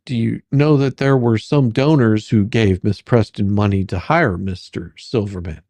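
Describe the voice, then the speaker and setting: A performer reading the part of a sarcastic, persistent attorney questioning a witness in a dramatic deposition reading, with probing, halting, repetitive phrasing. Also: medium pitch, darkish tone, no room sound, close to an everyday voice.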